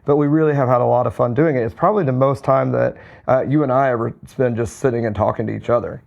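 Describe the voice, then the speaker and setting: A hoarse man recovering from a cold, co-hosting a podcast in a relaxed, rambling way. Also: medium pitch, quick pace. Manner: relaxed, rambling with many fillers and restarts. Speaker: podcast co-host, physician. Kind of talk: casual podcast chat. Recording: slightly dull lo-fi sound.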